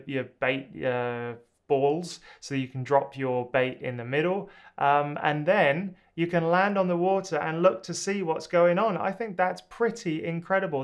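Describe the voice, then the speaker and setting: Casual male reviewer in his thirties; clear, smooth, slightly high voice, enthusiastic and loose, lots of ums. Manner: relaxed, enthusiastic, many ums and uhs. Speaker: drone reviewer. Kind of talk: casual product review to camera. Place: small indoor home studio.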